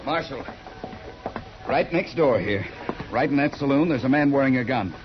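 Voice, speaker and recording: Man acting stubborn government official, mid-pitched male voice, prim, insistent, officious, dull old radio drama sound. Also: expressive, moderate pace, natural voice. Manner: prim, insistent, crisp wording. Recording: dull lo-fi 1950s radio recording.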